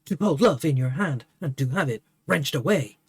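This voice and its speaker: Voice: medium pitch, lively intonation, moderate pace, breathy edge, no echo, studio quality. A reader playing Burnett, a lovestruck swordsman in a stage tragedy, declaiming in bombastic, archaic speech. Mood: feverish. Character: grief-stricken lovestruck swordsman, theatrical.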